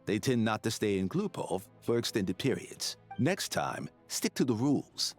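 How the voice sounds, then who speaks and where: A low, expressive male gatekeeper from a video game. He is officious and put-upon, reciting procedure in formal, curt phrases. Faint music plays behind him. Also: normal speed. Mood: dismissive, formal. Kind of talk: video game character acting.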